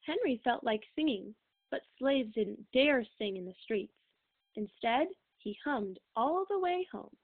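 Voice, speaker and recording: A woman reads a storybook aloud from a script. Her voice sits on the high side. The recording is narrow and tinny, as if heard through a telephone. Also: expressive, a little slow. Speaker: read-aloud reader.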